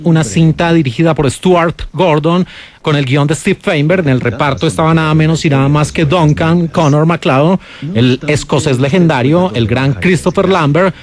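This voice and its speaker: A Colombian man hosts a radio film countdown as a critic. His fairly high voice is explanatory and emphatic as he presents each entry.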